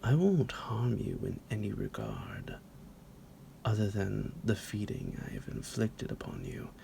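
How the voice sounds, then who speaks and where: A man playing a centuries-old psychotic vampire captor in an ASMR roleplay, possessive and mock-tender, speaking theatrically in a breathy, gravelly whisper that drops into a low creak. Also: wildly swooping pitch, warm tone, a little hiss or hum, full character voice. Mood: coaxing, amused. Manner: slow, theatrical, menacingly affectionate, chuckling. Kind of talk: ASMR roleplay addressed to the listener.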